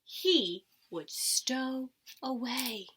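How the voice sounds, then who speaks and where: A woman's voice: high voice, extremely slow, background noise. A woman reading a children's storybook aloud like a teacher, expressively, with dramatic emphasis. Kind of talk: expressive children's storybook read-aloud with short asides to listeners.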